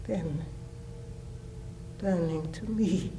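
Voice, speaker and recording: A woman playing a grieving, devoted mother in a solemn dramatic monologue, with halting, emotional delivery and breathy pauses. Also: on the low side, mellow, a little hiss or hum. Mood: tender, hushed reverence. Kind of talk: slow dramatic stage monologue.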